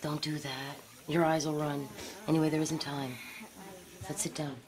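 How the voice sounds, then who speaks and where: A very low-pitched young woman, played by an actress in an audio drama, tough and cynical. She talks bluntly and wryly, like a captured rebel past caring. There is clear noise behind her. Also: level tone, moderate pace, lightly acted. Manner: wry, blunt, profane.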